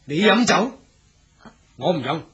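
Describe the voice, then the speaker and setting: A protective, watchful swordsman in a Hong Kong Cantonese period radio drama. His fairly high voice moves widely in pitch. He sounds earnest and questioning, always on guard. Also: big pitch swings, measured pace, a bit bright, dull audio. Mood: cautious. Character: wary, upright, protective male swordsman hero travelling incognito.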